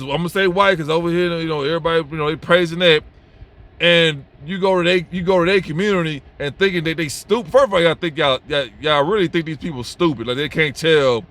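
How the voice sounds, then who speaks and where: A man's voice: on the high side, fairly bright tone. A young man, talking to camera in a blunt, repetitive, slangy way and saying "bro" a lot. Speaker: vlogger giving advice. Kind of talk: blunt unscripted monologue to camera.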